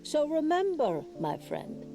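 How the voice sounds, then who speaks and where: A woman's voice: high voice, wildly swooping pitch, slow, dark tone, heavily acted. A woman voice-acting a solemn seer of stars and prophecy in a video game. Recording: game music behind.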